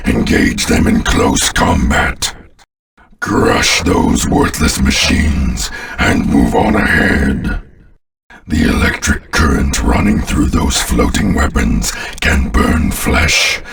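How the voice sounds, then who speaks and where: A man voicing a sinister, contemptuous alien warlord in a video game, giving pompous, commanding battle orders in a gravelly voice. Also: animated, a bit bright, recorded from a distance, heavily acted. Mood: commanding, menacing. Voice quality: very deep, gravelly. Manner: slow, pompous, disdainful, commanding. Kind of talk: scripted video game character lines.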